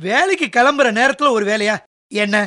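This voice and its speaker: An actor playing a busy husband in a radio drama, grumbling and complaining in an irritated way. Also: male voice, extremely high pitch, animated, brisk, sharp tone, dry recording, clean studio sound, heavily acted.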